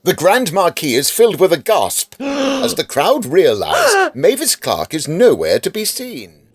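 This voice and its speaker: A man's voice: high voice, wildly swooping pitch, measured pace, slightly rough, no room sound, heavily acted. A man narrating a comic radio drama as the storyteller of a village murder mystery. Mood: dramatic narration. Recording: loud music under the voice.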